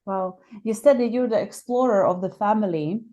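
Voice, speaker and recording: A woman's voice: mid-range voice, moderate pace, warm tone. A woman with a Slovak accent hosting a casual interview podcast, keen and full of eager interjections. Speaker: podcast host.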